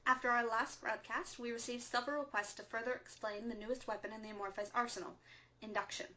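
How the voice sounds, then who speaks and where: A woman playing a composed, earnest resistance radio broadcaster in a scripted audio drama, speaking in a measured, solemn way. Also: a little high, fairly even intonation, pushed and bright, lo-fi sound, a little hiss or hum. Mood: calm, serious. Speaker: radio show host.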